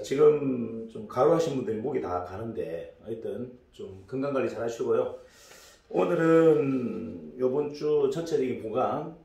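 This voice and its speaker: A hoarse middle-aged man giving a casual business lecture as a story, rambling with many fillers and restarts. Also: mid-range voice, dark tone. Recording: echoey room, distant mic.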